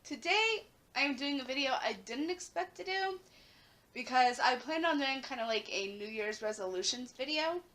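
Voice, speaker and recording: A young woman vlogging casually to her camera at home, her voice raspy and a little hoarse after the flu, chatting with plenty of "like" fillers. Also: high-pitched, animated, normal speed, fairly bright tone. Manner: chatty, lots of "like" fillers, rising emphasis.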